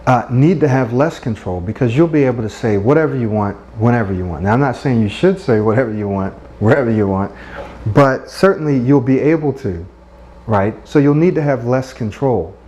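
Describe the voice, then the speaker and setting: A middle-aged man coaching listeners on speaking, earnest and encouraging, often checking in with a quick "right?". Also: mid-range voice, warm tone. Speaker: speech coach. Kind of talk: instructional talk.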